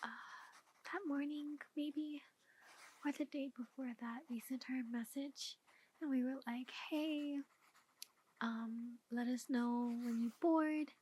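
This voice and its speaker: A woman playing a flustered, defensive mother of a missing daughter, speaking softly and hesitantly in an ASMR roleplay. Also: sits high, steady tone, takes it slowly, a little hiss or hum, voice pushed up, rough recording. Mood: hesitant, apologetic. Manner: hesitant, emotional, rambling excuses. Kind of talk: ASMR roleplay, soft-spoken.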